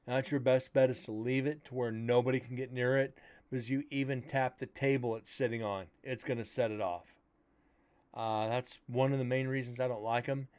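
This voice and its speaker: A man of middle pitch whose loud voice carries far. He talks casually and bluntly as the host of a paranormal show, plainly explaining his gear and his strong opinions about it. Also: little pitch movement, moderate pace, clear, resonant tone. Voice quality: loud, carrying voice. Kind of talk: casual commentary on old recordings.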